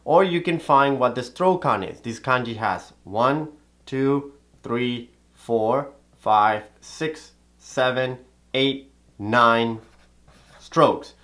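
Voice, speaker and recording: A man's voice: mid-range voice, very slow. Man teaching calligraphy. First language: Spanish. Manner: explanatory, steady, methodical. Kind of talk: instructional video talk.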